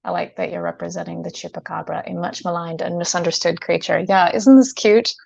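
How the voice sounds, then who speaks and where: A woman's voice: fairly low pitch, steady tone, a little fast, vocal fry, darkish tone, dry recording, highs cut off. A woman livestreamer chatting casually while tinkering, in a hesitant, rambling way with playful asides. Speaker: livestreamer, host.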